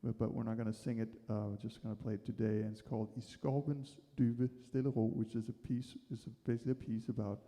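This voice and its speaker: Gravelly, mellow-voiced man chatting on stage. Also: lowish voice, little pitch movement, fast, hardly any pauses, long reverb tail. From Denmark. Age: 40s. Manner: chatty, joking, loose with fillers. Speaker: bandleader and violinist. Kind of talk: casual stage banter between songs. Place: small music venue, livestreamed show.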